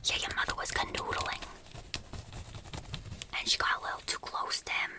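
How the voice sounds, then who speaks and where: A woman whispering close to the mic as an aunt combing out lice, chatty and reassuring, with gossipy asides. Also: normal speed. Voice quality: whispered throughout. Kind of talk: whispered ASMR roleplay.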